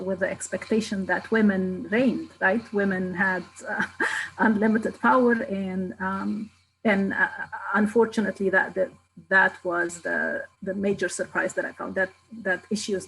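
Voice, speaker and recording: A woman moderating a panel on a video call, her voice heavy with vocal fry. She speaks hesitantly and tends to trail off, with a little faint noise behind her. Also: medium pitch, a bit bright, low-quality recording. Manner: hesitant, many fillers, trailing off.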